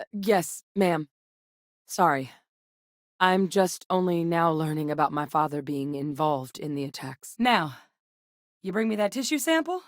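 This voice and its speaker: A woman voicing a composed, principled female scientist officer in a video game, speaking in a measured, earnest, direct way. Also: deep voice, no room sound, clean studio sound. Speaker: game companion character. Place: recording studio. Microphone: close studio mic.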